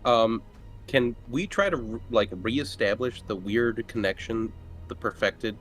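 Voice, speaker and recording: A man's voice: fairly low pitch, a little slow, fairly bright tone. A man playing a tabletop roleplaying game, chatting casually as he talks through his plans with the group. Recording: music playing behind the voice.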